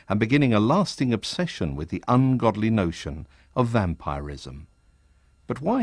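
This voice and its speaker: A man's voice: lowish voice, dry recording, clean studio sound. A man presenting a radio arts documentary in dramatic scripted narration. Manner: dramatic, slow-paced narration.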